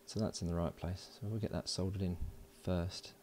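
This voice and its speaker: A man giving casual hands-on commentary as an electronics hobbyist, informal and halting as he thinks aloud while working. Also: deep voice, level tone, a little mellow, slight background noise. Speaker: electronics hobbyist presenting a build. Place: indoors at a workbench.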